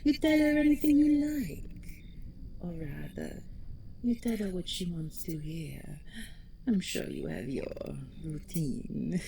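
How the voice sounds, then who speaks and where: A woman playing a menacing, mocking female ghost in an audio roleplay, with a whispery rasp and a taunting, drawn-out delivery. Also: fairly low pitch, sing-song, dark tone, slight background noise, heavily acted.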